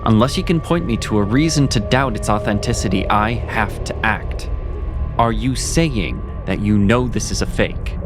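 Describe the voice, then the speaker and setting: Male actor as skeptical investigator, stern, measured, probing, audio drama, music underneath. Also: fairly low pitch, natural voice.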